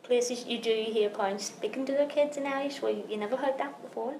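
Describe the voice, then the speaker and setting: A woman's voice: on the high side, a little fast. A woman speaking plainly in an interview about her community's language, in a matter-of-fact way. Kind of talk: documentary interview. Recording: echoey room with background noise.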